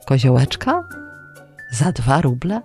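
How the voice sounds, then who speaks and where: A greedy and foolish wealthy man of a storybook folk tale, put on by a storyteller. He speaks bluntly and grudgingly at a middling pitch, with soft music underneath. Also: very animated, slow. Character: greedy, foolish rich herd owner.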